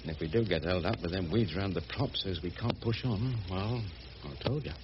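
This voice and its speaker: An actor playing a rough, good-hearted, middle-aged working man with a working-class English accent and colloquial speech in a radio drama. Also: deep voice, normal speed, lightly acted. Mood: wry, worried. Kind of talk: BBC radio drama acting. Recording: dull, noisy old radio recording.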